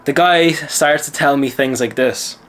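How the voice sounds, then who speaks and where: A man's voice: a little high, unhurried, fairly bright tone, a little hiss or hum. A man from Lithuania with an Irish accent, a mindset coach telling a gym story in casual talk and then giving self-assured advice. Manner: chatty, self-assured, lecturing.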